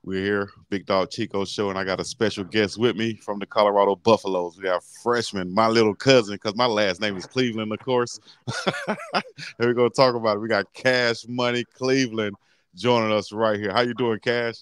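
Low, smooth-voiced man hosting a video call. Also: lively intonation, normal speed, fairly bright tone, no room sound, clean studio sound. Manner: laid-back, chatty, frequent "uh" fillers. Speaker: sports talk show host. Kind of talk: casual interview. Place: indoor room, video call. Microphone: headset.

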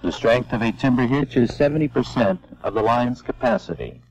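A man giving measured, step-by-step scripted narration for an instructional film. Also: medium pitch, crowd noise. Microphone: voice-over. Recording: dull lo-fi old film soundtrack.

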